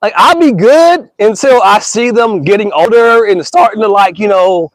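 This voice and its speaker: A man's voice: sits high, expressive, moderate pace, no echo, poor audio. A man in his thirties musing in a casual, slangy monologue, earnest and rambling with restarts, calling listeners y'all and bro. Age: 30s. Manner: rambling with frequent restarts and stammers.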